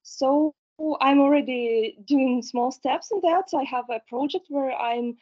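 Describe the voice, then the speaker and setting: Woman from Ukraine, high voice, mellow tone, hesitant fillers, dull lo-fi audio. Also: measured pace. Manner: careful, hesitant, many fillers. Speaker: student. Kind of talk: self-introduction.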